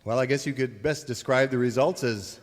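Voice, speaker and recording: A man's voice: medium pitch, fairly quick. A man hosting a comedy radio show in an announcer-like way, delivering scripted, mock-wary banter. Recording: reverberant hall, crowd, some noise.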